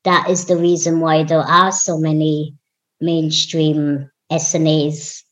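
Slow-talking low-voiced young Irish woman. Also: flat delivery. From Ireland. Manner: unhurried, warm, with frequent ums. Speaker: guest. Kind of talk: casual interview conversation.

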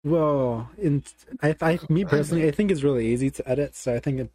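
A man's voice: fairly high pitch, fast talker, warm tone, dry recording. A young man hosting a casual podcast with friends, joking and teasing as he talks. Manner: teasing, laughing, talks over others. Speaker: podcast host. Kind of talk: casual chat among friends.

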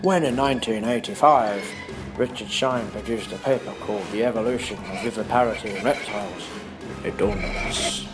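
A man's voice: fairly low pitch, natural voice. A man playing a grandiose theme park founder, presenting formally to investors in an audio drama with music behind. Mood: proud showmanship. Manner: formal, showman-like, measured phrasing. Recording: loud music bed behind.

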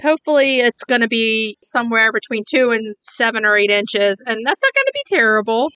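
Woman, bright voice, a little high, chatty and upbeat, slightly congested. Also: big pitch swings, fairly quick, clean tone. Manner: chatty, upbeat, laughs easily. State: congested, recovering from illness. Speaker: podcast co-host. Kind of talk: casual chat. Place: small home studio, at a table.

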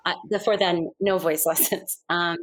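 A woman's voice: fairly low pitch, fairly even intonation, moderate pace, low-quality recording. A woman telling her career story in a casual interview, chatty, filling her talk with "like" and "you know" and laughing often. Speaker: musical theater performer, guest.

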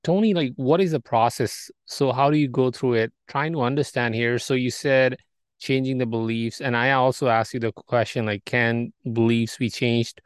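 A man with a smooth, clear voice asks his guest questions, pausing and rephrasing as he goes. The recording is dry and close. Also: medium pitch, steady tone, dry recording. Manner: halting, rephrasing questions, many fillers. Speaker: interviewer. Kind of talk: interview questions.

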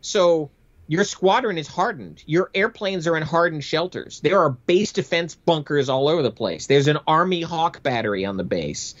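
Middle-aged American male, veteran aviator as interview guest. Higher-set voice, conversational and matter-of-fact, slightly boxy lo-fi sound. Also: animated, moderate pace. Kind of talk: conversational storytelling.

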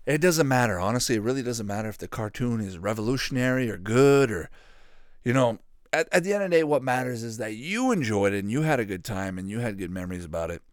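Male podcast host, thirties, mid-pitched. Informal, loose, repetitive solo commentary, recorded dry with no room sound. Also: animated, quick pace, no echo. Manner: rambling, repetitive, informal with swearing. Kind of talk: casual solo commentary on retro cartoons.

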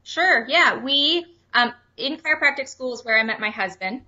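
Bright-voiced Canadian woman on a dull line. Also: high-pitched, lively intonation, normal speed. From Ontario, Canada. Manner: brief, easygoing answers. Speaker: chiropractor, guest. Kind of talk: casual interview chat.

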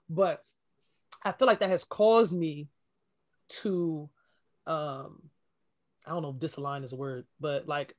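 A woman with a deep voice, co-hosting a podcast and talking candidly in casual chat, with animated storytelling. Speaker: podcast co-host.

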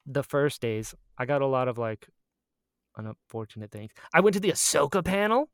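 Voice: medium pitch, very animated, moderate pace, clean tone, no echo. Casual podcast co-host, androgynous mid-range voice, gushing and anecdotal, dry recording. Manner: gushing fan enthusiasm, repeats phrases for emphasis; acts out quoted exchanges in storytelling. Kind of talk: casual chatty podcast catch-up.

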